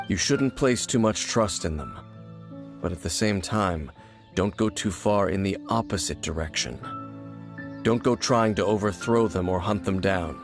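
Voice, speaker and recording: An actor playing an aloof, brooding warrior in a video game, speaking in a grave, measured, guarded way over music. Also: male voice, sits low. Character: brooding, aloof male warrior with ancient grudges. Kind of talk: video game dramatic acting.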